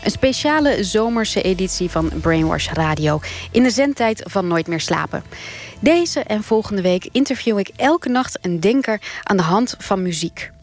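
A woman's voice: mid-range voice, lively intonation, normal speed. A woman with a Netherlands Dutch accent giving a scripted programme introduction as a radio presenter.

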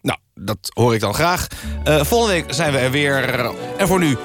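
A man playing a deadpan, hesitant presenter of a spoof radio news magazine, talking conversationally. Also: medium pitch, normal speed, faint music behind. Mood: deadpan, chatty.

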